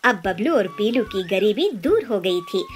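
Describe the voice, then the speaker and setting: Female narrator, scripted kids' moral tale, animated intonation, music bed underneath. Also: mid-range voice, measured pace. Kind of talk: scripted children's story narration.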